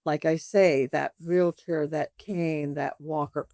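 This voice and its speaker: Chatty woman in her seventies, low voice. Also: slow pace, no room sound, clean studio sound. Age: 70s. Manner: chatty, animated, acts out customer dialogues. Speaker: boutique owner and guest. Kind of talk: conversational interview.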